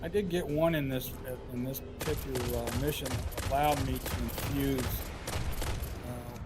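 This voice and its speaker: A man giving casual commentary over a game walkthrough, his voice a little hoarse and scratchy, thinking aloud with frequent filler pauses. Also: medium pitch, steady tone. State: slightly hoarse, scratchy throat. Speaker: gameplay commentator. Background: game music and gunfire.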